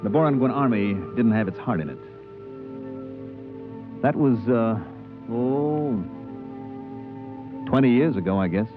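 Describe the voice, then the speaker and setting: An actor playing a decent, wary spaceship purser, telling and acting the story in 1950s radio drama style. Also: male voice, mid-range voice, animated, unhurried, pauses often, lightly acted. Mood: reflective. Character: plain-spoken male spaceship purser, wary, decent, reflective. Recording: narrow-band old radio with music.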